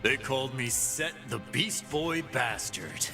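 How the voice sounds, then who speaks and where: A man's voice: medium pitch, animated, takes it slowly. An actor playing a swaggering, boastful half-beast man who runs fighting pits in a video game, with game music behind him. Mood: cocky. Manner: swaggering, boastful, tough-guy taunts. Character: cocky brawling half-beast male pit boss. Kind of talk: game character voice lines.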